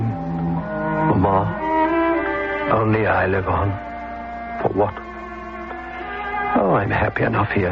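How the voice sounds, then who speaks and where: An actor playing a lonely, guilt-ridden old man, half mad, in a halting radio drama monologue. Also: lowish voice, gravelly. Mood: wistful, bitter. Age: older. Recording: muffled old radio broadcast, music behind.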